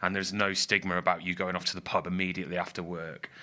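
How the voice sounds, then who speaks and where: A man talks through a casual studio podcast. His voice is fairly low yet strikingly bright and forceful, and he muses out loud, circling back with "like" and "you know.". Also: little pitch movement, fast. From northwest England. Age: 30s. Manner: reflective, rambling with many fillers. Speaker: podcast co-host. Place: studio in a building. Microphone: studio microphone.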